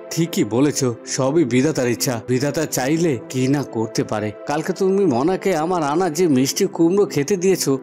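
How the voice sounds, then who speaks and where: A man's voice: medium pitch, a little mellow, lightly acted. A man voicing a humble, good-natured young village son, earnest and obliging, in a cartoon fairy tale.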